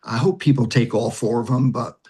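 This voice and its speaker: A man's voice: mid-range voice, fairly quick, darkish tone, slightly rough. A man speaking conversationally in an interview as an acting teacher and director, with an emphatic, instructive manner that leans on repeated phrases.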